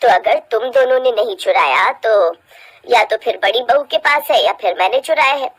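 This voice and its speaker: A woman voicing a stern, fair-minded older mother-in-law with a measured, admonishing, authoritative way of talking in a cartoon family story. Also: fairly high pitch, lively intonation, breathy edge. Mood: stern, accusing.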